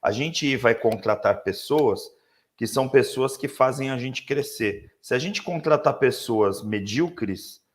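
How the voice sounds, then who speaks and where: A man with a Brazilian Portuguese accent, hoarse, talking reflectively about leadership on a live webinar with many tag questions. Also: medium pitch, lively intonation, a little slow. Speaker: leadership speaker.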